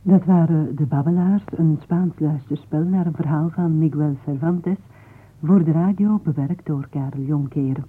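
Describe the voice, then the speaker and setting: Man, formal announcer, scripted credits, slightly high pitch, narrow-band vintage radio sound. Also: normal speed, husky. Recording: tinny narrow-band old radio broadcast.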